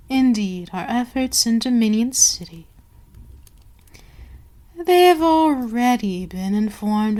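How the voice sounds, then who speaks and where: A woman playing a commanding vampire queen in an ASMR audio roleplay, speaking in a measured, formal way with soft whispery lapses. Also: medium pitch. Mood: poised, businesslike. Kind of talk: slow, breathy ASMR roleplay monologue.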